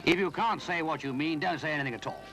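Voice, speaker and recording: A man's voice: a little high, lively intonation, fast talker, vocal fry, music in the background, voice pushed up. Man doing a cross, fussy storybook brownie, bossy, slightly raised voice. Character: brisk grumpy elderly brownie, kindly underneath.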